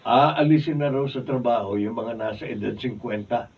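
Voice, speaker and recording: A man's voice: medium pitch, normal speed, slightly rough. A man acting a worn-down, grumbling road labourer husband of about fifty in a Filipino radio drama, with a plaintive manner. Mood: distressed, pleading. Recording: distant, dull, faintly noisy studio recording.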